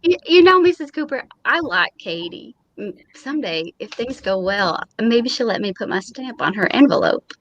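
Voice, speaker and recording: Woman playing a high, swooping fussy mailman. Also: expressive, normal speed, few pauses, a bit bright, low-quality recording. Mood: lovestruck, wistful. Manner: dramatic, indignant, fussy. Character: fussy, lovelorn, easily wounded male mailman. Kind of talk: old time radio comedy acting.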